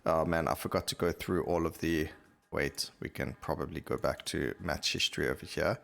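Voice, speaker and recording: A man's voice: rumbling low, steady tone, normal speed, creaky, a bit bright. A man giving casual gameplay commentary as a gaming video host, thinking aloud as he reads out stats on screen.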